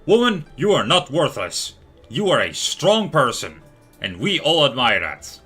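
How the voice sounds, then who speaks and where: A man hosting a livestream, giving casual, expressive, rambling game commentary addressed to his viewers. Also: a little high, a little slow, breathy edge, faint music behind. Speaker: livestream host.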